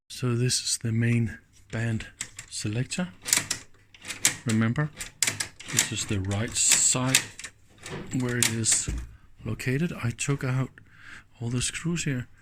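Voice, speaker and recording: Slow-paced man thinking aloud. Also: medium pitch. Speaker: host of an electronics teardown video. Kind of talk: casual hands-on commentary. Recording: clicks and faint background noise.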